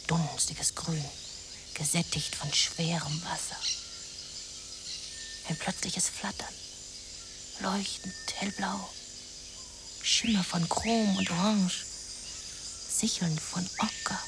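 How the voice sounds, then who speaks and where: An actress narrating a lurid jungle river expedition in a radio play, theatrical and often dropping into a hushed whisper. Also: female voice, on the low side, steady tone, slow pace, gravelly, pauses often, noisy background. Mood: hushed, ominous.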